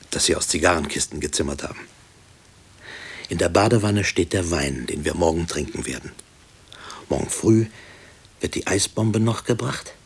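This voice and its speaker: A brooding middle-aged man with a very low, rough-edged voice. He gives a deliberate, matter-of-fact inner monologue in a radio drama. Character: brooding married man haunted by wartime prison. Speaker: lead actor and narrator in a radio play. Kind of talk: introspective first-person radio drama monologue.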